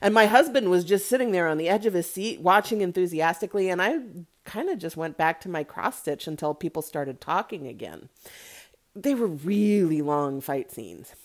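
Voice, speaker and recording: A woman's voice: lowish voice, expressive, moderate pace. A woman hosting a casual, opinionated solo movie commentary, talking in a chatty, candid way with conversational asides. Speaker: podcast host giving movie reviews.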